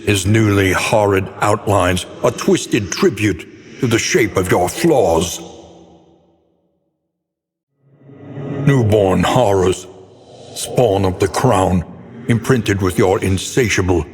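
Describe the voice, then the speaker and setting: An actor playing a grim, haunted scholar who narrates cosmic horror in a grave, ornate, deliberate manner for a game. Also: male voice, fairly low pitch, breathy edge. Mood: ominous. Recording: long hall reverb, noisy ambient bed.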